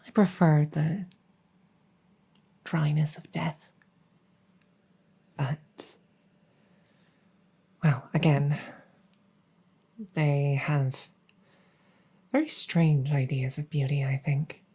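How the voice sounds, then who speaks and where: A woman playing a devoted, doting vampire maid in a soft-spoken ASMR roleplay, gentle and deferential, often slipping into a whisper. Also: deep voice, fairly even intonation, gravelly, husky, heavily acted. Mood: gentle, musing. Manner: gentle, unhurried, deferential, formal.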